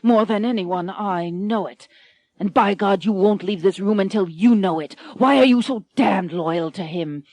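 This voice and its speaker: A woman's voice: medium pitch, measured pace, a little mellow, no echo. A woman voicing a brutal, jealous duke in a melodramatic story, with a snarling, contemptuous manner. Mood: furious. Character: brutal jealous male duke, domineering husband.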